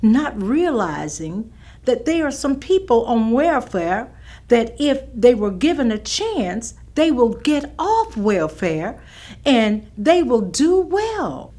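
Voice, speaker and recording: An actress playing a firm, caring older schoolteacher who tells her recollections in an emphatic, measured way in a staged reading. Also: fairly high pitch, sing-song, warm tone, heavily acted. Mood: thoughtful, sympathetic. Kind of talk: staged reading of oral history testimony.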